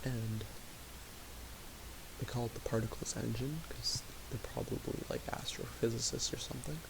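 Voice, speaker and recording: A young man talking quietly in a raspy voice, rambling with fillers as he thinks aloud while making music. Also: mid-range voice, steady tone, moderate pace. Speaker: music producer streaming. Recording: home recording with audible hiss.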